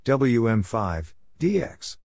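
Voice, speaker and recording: A man reading out call signs and short radio exchanges for code practice in clipped, deliberate, separated phrases. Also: mid-range voice, sing-song, creaky, darkish tone, a little hiss or hum. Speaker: Morse code practice narrator.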